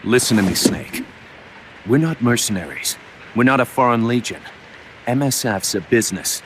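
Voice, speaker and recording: Actor as earnest, mid-pitched military deputy. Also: male voice, animated, moderate pace, noisy background, close to an everyday voice. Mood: eager, persuasive. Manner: earnest, persuasive. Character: eager, pragmatic mercenary second-in-command, younger man. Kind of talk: video game cutscene voice acting.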